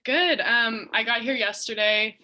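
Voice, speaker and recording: Bright young American woman golfer. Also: a little high, measured pace, low-quality recording. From Southern California. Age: young adult. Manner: chatty, fillers like "um" and "kind of". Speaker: university golfer, guest. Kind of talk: casual interview answers. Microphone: video call.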